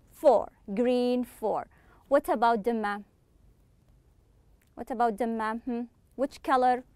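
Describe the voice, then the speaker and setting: A woman teaching English to children online, slowly repeating words and praising her pupils. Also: a little high, a little mellow, clean tone, dry recording. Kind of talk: online classroom lesson.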